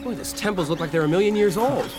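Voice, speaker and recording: An actor playing an earnest, idealistic young hero in a radio drama, with a boyish manner. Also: male voice, high voice, lively intonation, quick pace, music in the background. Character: earnest, idealistic eager young rebel farm boy. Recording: radio drama with score underneath.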